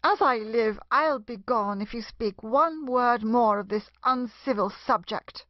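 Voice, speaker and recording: Woman as prim indignant aunt, fairly high voice, period comedy, protesting manner, dull audio. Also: measured pace, no room sound, close to an everyday voice. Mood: scandalised, indignant. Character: prim, indignant gentlewoman, an aunt easily scandalised. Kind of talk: Restoration comedy audio play acting.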